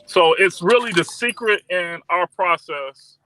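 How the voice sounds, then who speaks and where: A man's voice: sits high, unhurried, bright, forceful tone, faint music behind, low-quality recording. A man who owns a popcorn shop, chatting as a guest, telling stories animatedly with restarts and repeated words. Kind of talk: casual interview chat.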